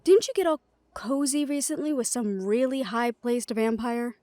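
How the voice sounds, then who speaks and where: A woman's voice: a little high, moderate pace, dark tone, dry recording, close to an everyday voice. A woman playing a blunt, sarcastic and fiercely loyal friend in an audio drama. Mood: firm.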